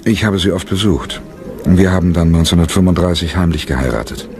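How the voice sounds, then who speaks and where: An actor playing an embittered, haunted and defiant soldier turned theatre leader in a radio play. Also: male voice, low-pitched, fairly quick, darkish tone, soft background music. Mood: bitter recollection. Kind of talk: German radio play acting.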